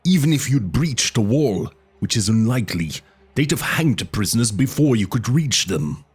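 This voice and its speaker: A man's voice: mid-range voice, sing-song, moderate pace, slightly rough. A man reading aloud and voicing a blunt, fierce barbarian warrior who roars and bellows at a crowd. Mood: roaring exasperated. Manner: roaring, bellowing commands.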